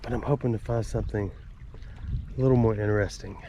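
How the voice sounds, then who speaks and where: A man's voice: fairly low pitch, fairly even intonation, fast, noisy background. A man with a Southern accent and a stuffed-up nose, narrating casually and rambling in a folksy, self-amused way while wading through a creek. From Alabama. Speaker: creek explorer vlogger. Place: outdoors wading in a city creek.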